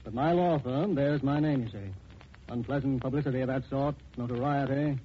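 A man's voice: medium pitch, close to an everyday voice. Guy playing upset middle-aged widower, stammery n earnest, 1940s radio drama, muffled bit noisy. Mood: indignant. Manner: halting, distraught, earnest. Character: anxious, grieving wealthy middle-aged widower.

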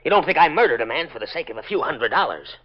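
A defensive middle-aged father blusters with indignation. He talks fast with big swings in pitch, in 1940s radio mystery acting on a dull old recording. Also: sing-song. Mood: indignant, defensive. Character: defensive, irritable father of a grown daughter.

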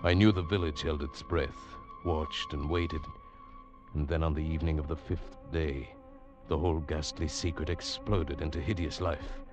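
A man's voice: rumbling low, fairly even intonation, normal speed. An actor in a mid-century radio drama playing a troubled, spellbound man, intense and hesitant, also narrating dramatically. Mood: fearful, intense narration. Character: troubled, spellbound Englishman drawn to a mysterious woman. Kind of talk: 1940s-50s radio drama acting and narration. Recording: old muffled radio broadcast with music.

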